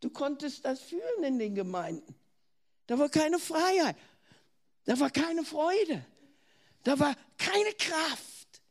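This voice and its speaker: High-voiced older German woman preaching slowly. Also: very animated. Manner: earnest, asks the listener questions, restarts words. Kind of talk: sermon.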